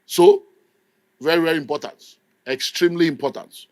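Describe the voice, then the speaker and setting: A man's voice: a little high, wildly swooping pitch, normal speed, a little mellow, no echo. A middle-aged Nigerian man preaching a sermon with an emphatic, scolding delivery. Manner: emphatic, scolding, mimics people in quotes. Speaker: pastor.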